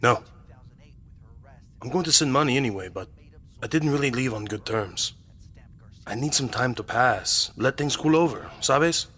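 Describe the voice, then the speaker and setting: A man voicing a withdrawn young immigrant in an audio horror story, husky and hesitant. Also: mid-range voice, animated, normal speed, long pauses, fairly bright tone. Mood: withdrawn, evasive.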